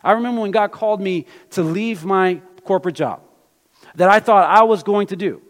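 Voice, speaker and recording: A man preaching a sermon as pastor, born in Brazil and raised in the US, telling personal stories in an animated way. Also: sits high, normal speed. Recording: long hall reverb.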